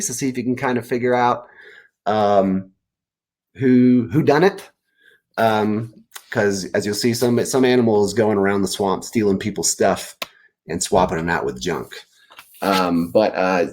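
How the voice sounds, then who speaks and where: Animated man reading at story time. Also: lowish voice, slight background noise. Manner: informal, addressing young listeners. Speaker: author reading own book. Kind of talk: children's story time, explaining and reading aloud.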